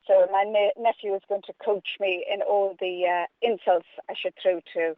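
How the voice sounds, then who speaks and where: A woman's voice: mid-range voice, steady tone, normal speed, no echo, phone-quality sound. A woman from Limerick with an Irish accent, chatting playfully and ramblingly as an interview guest. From Limerick, Ireland. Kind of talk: casual conversational interview.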